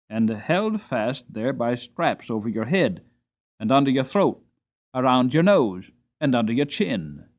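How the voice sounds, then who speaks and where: A man's voice: mid-range voice, a little mellow, lo-fi sound. Spirited young storybook horse, male narrator, earnest, theatrical, very varied intonation.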